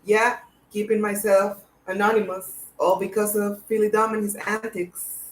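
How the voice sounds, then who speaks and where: A woman's voice: medium pitch, flat delivery, normal speed, slight background noise. Shy young woman, echoey room. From El Salvador. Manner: soft-spoken, shy, hesitant. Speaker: guest on a livestream. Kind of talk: casual chat.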